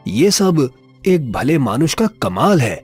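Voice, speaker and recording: Cartoon king, played by a male reader: dignified, kindly, husky, unhurried, light music underneath. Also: a little high, sing-song, a little mellow, exaggerated voice. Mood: calm, gracious. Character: benevolent, stately Indian king in a moral story.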